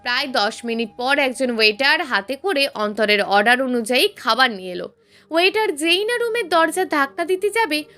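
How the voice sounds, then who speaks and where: A woman's voice: fairly high pitch, a bit bright. A woman reading a scripted story aloud as a dramatic narration. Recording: music behind the voice. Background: background music.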